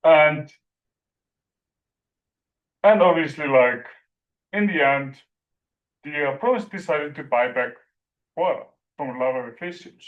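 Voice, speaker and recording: A man from Iceland with an Icelandic accent giving an academic presentation, speaking haltingly with many fillers like "like" and "you know". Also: fairly high pitch, lo-fi sound.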